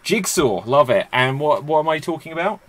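A man's voice: fairly high pitch, animated, brisk. A man hosting an online improv comedy game over a video call, wearing a headset and announcing the rounds in an upbeat way.

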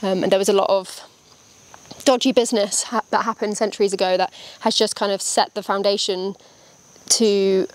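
A woman's voice: medium pitch, fairly even intonation, moderate pace. Chatty young woman vlogging outdoors. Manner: chatty and enthusiastic. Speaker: travel vlogger. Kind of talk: casual travel vlog. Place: outdoors in a hilltop tropical garden. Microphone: handheld mic.

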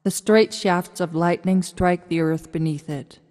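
A woman's voice: on the low side, measured pace, darkish tone. A woman narrating a radio drama, reading out scene directions from a script. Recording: long hall reverb.

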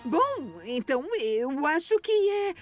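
High, lively cartoon lady motorbike. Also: slow, heavily acted. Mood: flustered. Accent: Brazilian. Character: kindly, flustered lady motorbike announcing races in a cartoon. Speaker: race announcer. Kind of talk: animated cartoon dubbing. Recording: music behind the voice.

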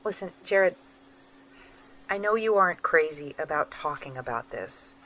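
A woman's voice: low voice, expressive, unhurried, fairly bright tone. An actress playing a man's estranged wife, a designer, speaking in a clipped, polite and distant way in an audio drama. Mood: guarded.

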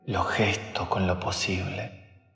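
A man leading a guided meditation in short phrases with long pauses, sometimes dropping to a whisper. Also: sits low, fairly even intonation, creaky, sharp tone. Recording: roomy long reverb with faint background music.

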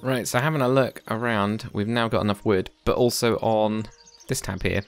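Low, creaky-voiced man chatting over gameplay. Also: fairly even intonation, normal speed, faint music behind. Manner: thinking aloud, chatty. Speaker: let's play host. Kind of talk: casual game commentary.

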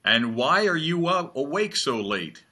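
An older man hosting, asking slow, clear, encouraging questions over a video call. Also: on the high side, sing-song, bright, forceful tone. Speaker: host. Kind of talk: friendly interview questions.

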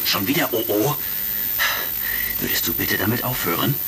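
An actor playing a casual, wry space hero commander in a retro sci-fi radio play, talking in short informal lines. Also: male voice, lowish voice, lively intonation, normal speed, gravelly. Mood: exasperated. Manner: informal, clipped, calls partner buddy. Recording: crackly vintage radio-play record. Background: music.

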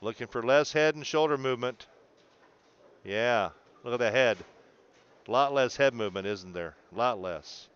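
A man's voice: mid-range voice, moderate pace, fairly bright tone, smooth, muffled recording. A man coaching a student through video analysis in an encouraging, explanatory way, often checking in. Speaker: bowling coach.

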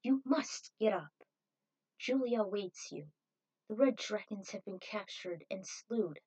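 A woman's voice: mid-range voice, animated, a little slow, a little mellow, dull audio. A woman voicing the fatherly spirit of a dead mentor, solemn and encouraging, in a story reading. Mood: solemn urging.

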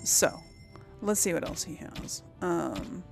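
A woman's voice: fairly low pitch, expressive, creaky, music in the background. A woman casually commenting on her gameplay, musing aloud and talking to herself. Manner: slow, musing, talks to herself. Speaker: gameplay host. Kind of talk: casual let's play commentary.

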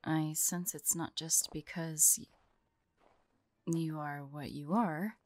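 A woman playing a forlorn, vulnerable young huntress in an intimate audio roleplay, speaking softly and haltingly, often drifting into whispers. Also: sits low, steady tone, dry recording. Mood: gentle, earnest. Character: forlorn young woman hunter, tearful, vulnerable, guarded, affectionate.

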